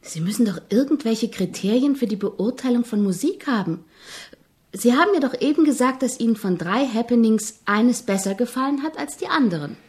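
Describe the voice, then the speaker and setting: An actress playing an earnest, persistent young composition student in a German radio drama, questioning politely but insistently. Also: female voice, on the high side, animated, a little fast, a little mellow, close to an everyday voice. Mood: probing.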